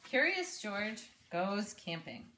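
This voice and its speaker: Bright-voiced woman reading aloud, echoey room. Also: mid-range voice, slow pace, a little hiss or hum. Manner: instructive, prompting with questions, expressive reading. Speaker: speech therapist reading aloud to a child. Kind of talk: read-aloud storytelling with teaching asides.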